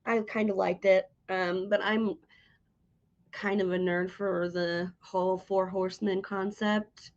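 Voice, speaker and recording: A woman's voice: medium pitch, level tone, slow, highs cut off. A woman hosting an interview as a casual chat, informal and giggly in the way she talks. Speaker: interviewer, podcast host.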